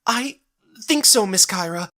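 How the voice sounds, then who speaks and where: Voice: mid-range voice, animated, unhurried, pushed and bright, voice pushed up. A performer voicing a frightened, deferential woman who stammers and gasps. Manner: stammering, gasping. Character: frightened, timid, deferential young woman companion.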